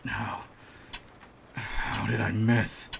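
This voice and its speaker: A man playing a cold, smug hitman in an audio roleplay, speaking in a theatrical, menacing and deliberate way. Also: on the low side, flat delivery, gravelly, fairly bright tone, slight background noise, heavily acted. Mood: shocked, agitated. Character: cold, smug male hitman holding his target at gunpoint. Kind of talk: scripted ASMR audio roleplay.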